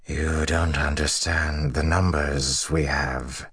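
A man voicing a dying, defeated general in an audiobook, weary and grimly admiring, speaking in a halting, weakened way. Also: a bass voice, fairly even intonation, a bit bright, husky, dry recording, studio quality, heavily acted. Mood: grim admiration. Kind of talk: audiobook character dialogue.

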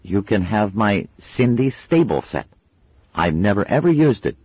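A man's voice: on the low side, big pitch swings, a little slow, a little mellow, slightly rough, dry recording, lo-fi sound, pitched above the performer's own voice, heavily acted. A man voicing a bossy young girl who speaks sternly and impatiently, in a storybook reading. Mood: coaxing. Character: bossy, severe young girl, gang member.

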